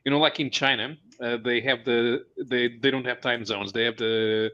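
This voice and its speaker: A co-host from Portugal talks haltingly over a dull, lo-fi microphone. His voice sits at an ordinary middle pitch, and he chuckles at his own jokes as he goes. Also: fairly even intonation, pushed and bright. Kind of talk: casual live-stream chat. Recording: dull lo-fi mic.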